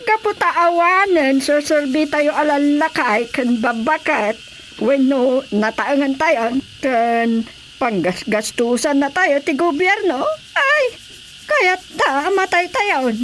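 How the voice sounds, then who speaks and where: An actress as a complaining old village woman in a Filipino radio drama, with a Filipino accent. Her voice is high and the delivery drawn out, with faint noise behind it. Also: lively intonation, takes it slowly, fairly bright tone, exaggerated voice, rough recording. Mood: plaintive. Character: complaining elderly village woman, senior citizen. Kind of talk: radio drama comedy acting.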